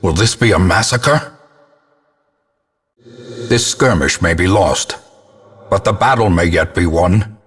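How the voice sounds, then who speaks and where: An actor playing a grim old male ancestor who narrates a gothic video game in a portentous, measured, theatrical manner, with a gravelly, husky voice. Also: low-pitched, a bit bright, heavily acted. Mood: grave, ominous. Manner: portentous, measured, ornate diction.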